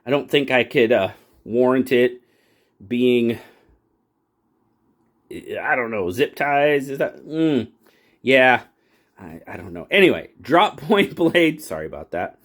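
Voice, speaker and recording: A man's voice: medium pitch, expressive, slow pace, pauses often, a bit bright. A man hosting his own channel and reviewing a pocket knife in a casual, folksy way, rambling and restarting his sentences often. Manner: rambling, folksy, self-correcting with frequent restarts. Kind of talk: casual product review talk.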